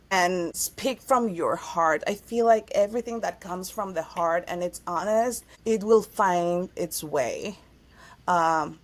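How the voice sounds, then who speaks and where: A woman from Mexico with a Mexican accent, a guest in a casual interview, talking expressively, dropping in lots of "like" and slipping into Spanish. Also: mid-range voice. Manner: expressive, heavy use of "like", switches into Spanish. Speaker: guest, actress and singer.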